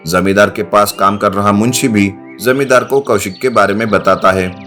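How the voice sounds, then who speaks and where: A man with a fairly low voice narrates a scripted village tale as storyteller. Music plays clearly behind his voice. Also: fairly even intonation, moderate pace, a bit bright.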